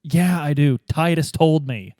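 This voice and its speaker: A man's voice: fairly high pitch, measured pace, mellow, no echo, studio quality, close to an everyday voice. A man playing a dogged, exasperated police detective in a tabletop game, blunt, insistent and informal.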